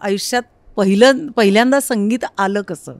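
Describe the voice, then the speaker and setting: A man's voice: high-pitched, moderate pace, no room sound. A man interviewing a guest, attentive, often putting in short affirming responses. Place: recording studio.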